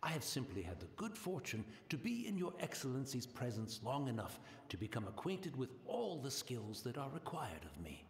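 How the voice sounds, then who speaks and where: A gentle blind old tea master. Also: male voice, mid-range voice, wildly swooping pitch, moderate pace, few pauses, echoey room. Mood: humble. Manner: courteous, gentle, formal.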